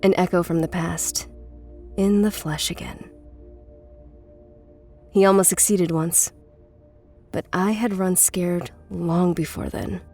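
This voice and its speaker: Low-voiced, guarded, intense cult runaway. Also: female voice, fairly even intonation, slow, long pauses, darkish tone. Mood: haunted, reminiscing. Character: intense, guarded woman, fugitive from her cult family. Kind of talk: scripted horror audio drama acting. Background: music.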